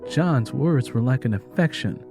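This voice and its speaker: Animated male storyteller over music. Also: mid-range voice, a little slow. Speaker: narrator. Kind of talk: dramatic scripted story narration.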